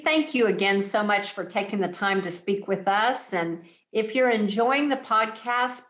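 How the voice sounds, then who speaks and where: A woman's voice: medium pitch, a bit bright. A woman hosting a corporate podcast interview, upbeat as she asks questions and wraps up the show. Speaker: podcast host. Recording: roomy echo.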